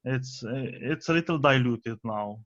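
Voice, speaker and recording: A man's voice: medium pitch, slow, a bit bright. Man hosting a podcast interview. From Romania. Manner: friendly, laughs often, fillers. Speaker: podcast host. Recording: slightly dull lo-fi audio.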